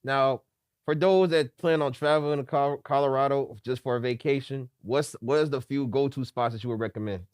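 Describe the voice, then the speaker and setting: Slangy, relaxed man hosting an interview. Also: mid-range voice, a little fast, clean tone, no room sound, studio quality. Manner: relaxed, slangy, repeats himself as he asks questions. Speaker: podcast host. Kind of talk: casual interview.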